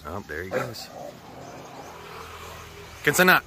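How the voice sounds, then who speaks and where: A man's voice: mid-range voice, huge pitch swings, takes it slowly, heavy background noise. An American man with a slightly sore throat, rambling casually and swearing often as he chats for a vlog. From United States. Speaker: vlogger. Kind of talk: casual chat.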